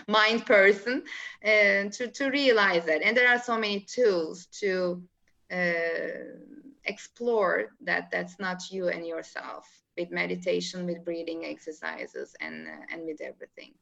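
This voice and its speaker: A woman from Turkey with a Turkish accent, speaking English as a coach being interviewed, explaining in an animated way with halting non-native phrasing. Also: mid-range voice, vocal fry. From Istanbul, Turkey. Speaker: spiritual coach, interview guest. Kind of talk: explaining in a live online interview. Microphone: video call. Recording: dull, lo-fi video call audio.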